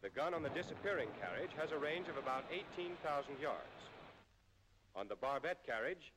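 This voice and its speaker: Higher-pitched American male narrator, reverberant film sound. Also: expressive, noisy background. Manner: formal, measured, precise enunciation. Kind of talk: scripted military instructional narration, 1940s newsreel style.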